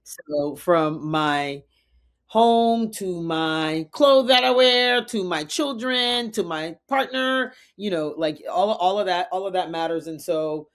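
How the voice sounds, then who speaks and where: A woman's voice: on the low side, expressive, slow. A middle-aged woman from Liberia and New York City answering interview questions conversationally, emphatically, often checking in with "right?". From Liberia and the United States, raised in New York City. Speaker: podcast guest, community executive.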